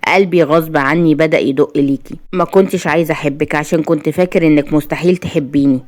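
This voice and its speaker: A woman's voice: low voice, quick pace, darkish tone, natural voice. A woman voicing a lovesick, easily exasperated young husband in Egyptian Arabic, earnest and remorseful, in a told story. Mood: pained, remorseful.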